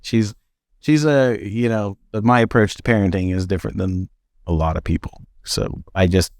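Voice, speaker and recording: A man's voice: on the low side, fairly even intonation, normal speed, a little mellow, dry recording, clean studio sound. A middle-aged man talking reflectively in a casual podcast conversation, trailing off and restarting his sentences. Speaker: podcast co-host.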